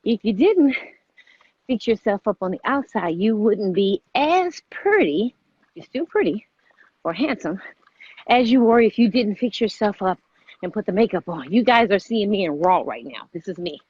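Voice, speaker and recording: A woman's voice: mid-range voice, very animated, moderate pace, a little mellow, husky, no echo, lo-fi sound. A woman hosting a casual motivational fitness vlog outdoors, repeating her points as she urges listeners on. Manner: repetitive, exhorting. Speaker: vlog host.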